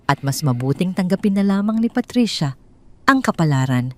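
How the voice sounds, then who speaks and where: A woman's voice: sits low, dark tone. A woman with a Filipino accent narrating a scripted dramatic story in audiobook style.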